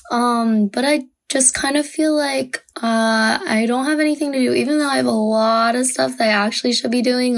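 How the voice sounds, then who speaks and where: Young woman podcast host, hoarse with a cold, raspy and gravelly with a slightly high pitch, rambling and chatty. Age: young adult. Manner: chatty, many fillers and "like". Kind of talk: casual solo podcast chat.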